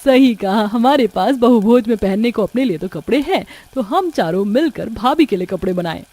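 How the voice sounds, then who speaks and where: A woman's voice: fairly high pitch, lively intonation, normal speed, a little mellow. A woman voicing a caring, outspoken young fashion designer in a family cartoon. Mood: sympathetic. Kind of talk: Hindi cartoon voice acting.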